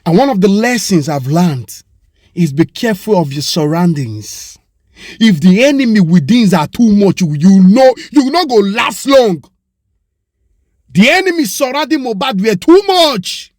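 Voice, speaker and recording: A Nigerian man speaks in a high voice with a Pidgin English accent, close to the mic in a dry, dead space. He argues with passion, throwing out rhetorical questions and switching into Yoruba now and then. It sounds like an opinion monologue. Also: very animated, a little slow, a little mellow, no room sound. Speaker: commentator.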